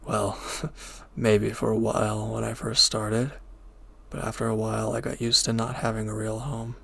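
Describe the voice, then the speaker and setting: A man playing a reformed villain turned devoted lover in an intimate audio drama, speaking softly, gently and hesitantly with a breathy voice. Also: lowish voice, level tone, moderate pace. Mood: reflective, wistful.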